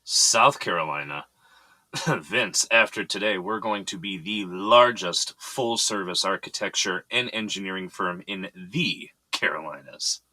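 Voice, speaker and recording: A man's voice: low voice, a little slow, sharp tone, no room sound, exaggerated voice. An actor playing a composed, serious, fatherly boss of an architecture firm in an audio drama. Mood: pleased, confident.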